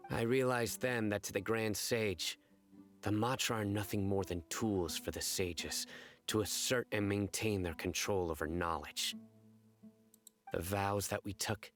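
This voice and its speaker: A man voicing a stern, solemn general and judge in an anime game, formal, measured and grave. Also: lowish voice, soft background music, lightly acted.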